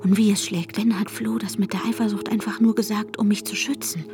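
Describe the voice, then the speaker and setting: An actress playing an anxious, obsessive woman in a German radio drama, tense and breathy, often dropping to a whisper. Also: mid-range voice, fairly even intonation, brisk, music in the background, close to an everyday voice. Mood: brooding, intense. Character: anxious, obsessive, unstable woman narrating her own desperation. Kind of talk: German radio drama acting with inner monologue.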